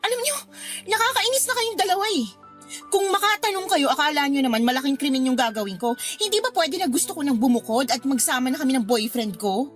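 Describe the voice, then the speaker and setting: An actress playing a defiant, spoiled college-age daughter who snaps back in a radio drama. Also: female voice, sits high, lively intonation, fast talker, a bit bright, soft background music. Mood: irritated. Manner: snappy, back-talking. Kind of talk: Tagalog radio drama acting.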